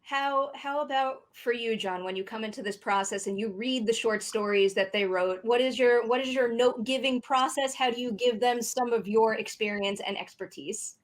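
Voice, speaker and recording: Higher-voiced woman interview host. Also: normal speed, hardly any pauses. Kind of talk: podcast interview with prepared introductions.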